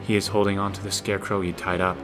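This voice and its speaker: Male narrator, lowish pitch, scripted action storytelling, audio drama, loud music underneath. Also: level tone, quick pace.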